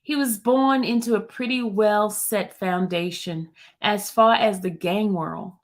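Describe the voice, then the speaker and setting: A woman reading out a male gang witness's testimony in a lowered voice, answering in quiet, halting phrases. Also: steady tone, lightly acted. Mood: recalling.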